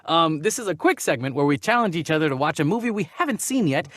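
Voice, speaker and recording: A man's voice: on the high side, sing-song, quick pace, a bit bright, no echo, studio quality. A man hosting a casual film podcast, chatting in an informal, joking way. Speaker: podcast host.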